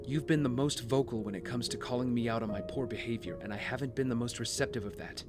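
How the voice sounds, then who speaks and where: Brooding young male hero, acted by a man, audio drama, mid-range pitch, earnest, measured, guilt-laden, confessional, clear music underneath. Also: natural voice. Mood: contrite.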